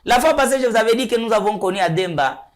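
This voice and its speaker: A man's voice: high voice, expressive, fast talker. A man from Kasai, a studio guest making emphatic rhetorical points in a political talk show. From Kasai, DR Congo. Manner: emphatic, rhetorical, repeats points and asks "hein?".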